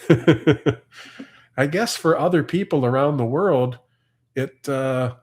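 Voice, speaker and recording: Canadian guy in his 40s or 50s, laid back livestream host, chatty, laughs a lot, middle pitch. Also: takes it slowly, darkish tone. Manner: chatty, easygoing, laughs often. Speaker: farm channel host reading live comments. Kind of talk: casual livestream chat.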